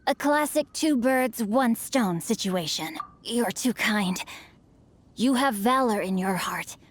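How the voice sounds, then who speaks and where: A woman's voice: fairly high pitch, expressive, slow. A woman voicing a witty young male noble heir who is a bookish swordsman, speaking formally with flowery, teasing phrasing. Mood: gracious. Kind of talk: video game voice acting.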